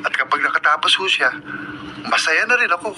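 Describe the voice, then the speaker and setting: Actor as an upbeat young farmhand, slightly higher voice, noisy radio broadcast. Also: male voice, lively intonation, brisk, close to an everyday voice. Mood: cheerful. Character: cheerful young male farmer, the older brother.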